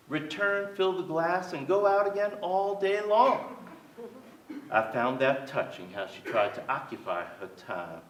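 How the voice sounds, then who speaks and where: Older guy playing a wistful aging playwright, echoey theater, kinda far from the mic, theatrical and wry. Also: fairly high pitch. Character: aging Southern playwright, wistful, wry, fond of his sister. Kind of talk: staged reading of a reminiscing monologue. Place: theater stage before an audience. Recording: echoey theater stage, distant mic, faint noise.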